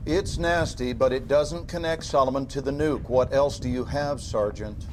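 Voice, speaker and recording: An actor playing a calm male government interrogator who questions in a measured, dry, slightly sarcastic way. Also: medium pitch, a little hiss or hum, natural voice. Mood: cool questioning. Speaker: interrogating agent.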